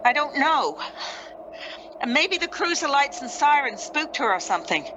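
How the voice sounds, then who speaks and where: A woman's voice: high voice, very animated, measured pace. A woman playing a female rescue officer reporting over two-way radio in a radio play, procedural and a little hesitant. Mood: businesslike, uneasy. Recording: muffled radio sound, loud background noise.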